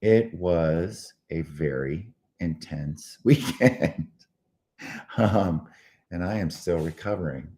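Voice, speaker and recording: A tired man hosts a casual morning show in a very deep, mellow voice. His throat is hoarse and he keeps clearing it. He talks haltingly, with plenty of ums and uhs. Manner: frequent throat clearing, halting with many fillers. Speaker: host of a short morning show.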